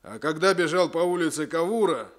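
An actor playing a sullen, defiant criminal under interrogation in a 1960s Soviet radio drama, with grudging, colloquial speech. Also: male voice, a little high, expressive, a little fast, natural voice. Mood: grudging confession.

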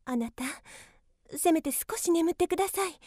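A woman's voice: extremely high pitch, animated, normal speed, warm tone, no room sound, clean studio sound. A woman playing a worried mother and devoted wife in an earnest, emotive radio drama. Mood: pleading.

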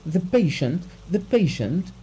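A man voicing a distraught, betrayed gentleman in a dramatized audiobook, crying out in anguished, repeated exclamations. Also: high voice, sing-song, slow, slight background noise, pitched above the performer's own voice, full character voice, poor audio. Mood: hysterical anguish.

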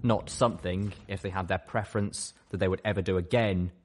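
A man's voice: low voice, fairly even intonation, brisk, a little hiss or hum. A man reading a dramatic scripted story as an audiobook narrator. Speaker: audiobook reader.

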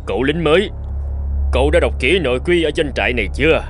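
An actor playing a gruff, scornful middle-aged army commander in a cartoon, stern and mocking. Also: male voice, fairly high pitch, lively intonation, fast, natural voice. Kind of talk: dubbed cartoon dialogue. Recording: music behind the voice.

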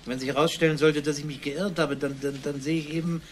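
A man playing a dogged, pondering detective chief in a crime radio play, halting and questioning. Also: fairly high pitch, steady tone, slight background noise, lightly acted. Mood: insistent. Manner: halting, questioning, repeats himself.

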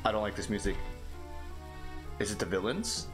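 A man in his twenties hosting a let's play, with energetic, goofy gameplay commentary. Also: lowish voice. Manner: energetic, goofy, quick reactions. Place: small home room. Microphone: studio mic on a boom stand. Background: game music.

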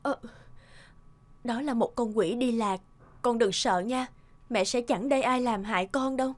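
A tender mother from an animated family drama. Her voice sits on the high side and she speaks softly and sentimentally, like someone confiding a long-held regret to her son. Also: a little slow. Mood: reassuring.

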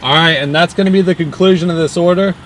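High-pitched young man bantering from a car. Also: fast talker, fairly bright tone, poor audio. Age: young adult. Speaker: prankster YouTuber, drive-thru customer. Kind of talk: casual prank banter. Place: inside a car at a drive-thru at night. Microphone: camera held by passenger in the car. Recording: car engine and outdoor noise.

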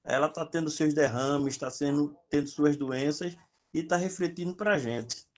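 A man talks on a video call in a calm, reflective way, pausing as he searches for words. His voice sits a little high for a man. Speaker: group participant. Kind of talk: casual chat on a video call.

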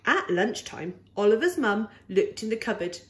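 A woman reading a children's picture book aloud in an animated, expressive, deliberate way. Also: mid-range voice.

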